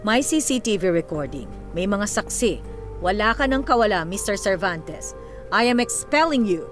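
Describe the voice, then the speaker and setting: A stern female school principal, played by a woman in a radio drama. She speaks firmly and formally with full authority, mixing Tagalog and English, with music clearly playing behind her. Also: medium pitch, big pitch swings, normal speed, natural voice. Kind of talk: Filipino radio drama acting.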